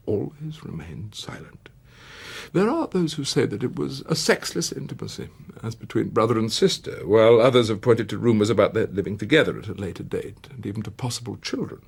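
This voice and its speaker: A British man giving a theatrical scripted biographical narration, dramatic and emphatic, with weighted pauses. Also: mid-range voice, vocal fry, slightly rough. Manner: dramatic, dwelling on words with long emphatic pauses and swells.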